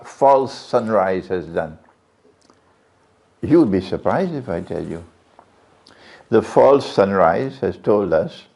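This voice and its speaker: A man's voice: medium pitch, creaky, darkish tone. A male Islamic preacher giving a religious lecture to a live audience, questioning the listeners and repeating key phrases. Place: lecture venue. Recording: dull lo-fi lecture recording.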